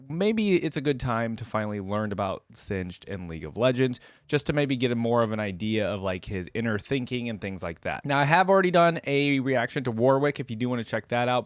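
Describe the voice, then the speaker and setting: A man's voice: medium pitch, no echo. A man hosting a reaction video, speaking informally, reading aloud and commenting casually into a close mic. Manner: informal, drops in side comments while reading.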